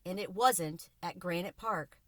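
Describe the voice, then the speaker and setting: A shaken young man from a storybook voiced by a woman reader gives grim news. The delivery is grave, halting and earnest, in a bright voice with a dry, close sound. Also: fairly low pitch, no echo.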